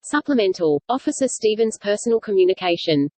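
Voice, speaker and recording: A woman's voice: mid-range voice, lively intonation, brisk, dark tone, no echo, lightly acted. A woman voicing an impersonal ship computer in a sci-fi audio drama, making clipped system announcements.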